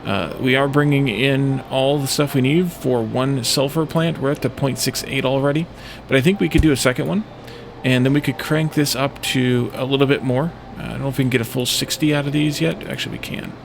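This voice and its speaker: A man's voice: medium pitch, steady tone, a little fast, gravelly, few pauses, slight background noise. A man giving casual video game commentary as a let's play host, thinking aloud and musing to himself in short fragments. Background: game music.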